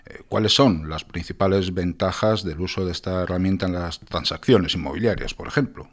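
A man interviewing a guest, asking measured questions and giving short backchannel replies. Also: low voice.

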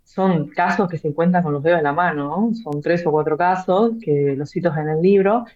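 A woman's voice: lowish voice, moderate pace, darkish tone. A woman, an author answering interview questions, often hesitating with fillers and repeated words. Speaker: author and blockchain real estate guest. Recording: dull lo-fi sound.

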